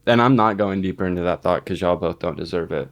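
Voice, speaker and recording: Young male podcast co-host, deep clean voice, rambling mock-serious banter. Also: quick pace. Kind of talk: casual comedic banter.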